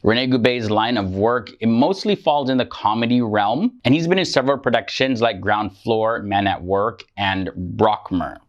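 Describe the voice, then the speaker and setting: A man's voice: lowish voice, normal speed, few pauses, highs cut off. A man reading an upbeat scripted countdown of celebrities, with promotional asides. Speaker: list video narrator.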